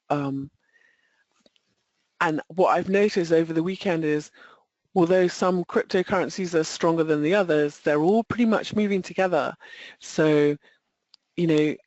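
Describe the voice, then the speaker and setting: A woman's voice: low-pitched, a little mellow, no room sound. A woman with a British accent talks through charts informally as an analyst. She is conversational and a little self-deprecating. The sound is very dry and somewhat muffled, like an online webinar call. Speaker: market analyst, webinar presenter.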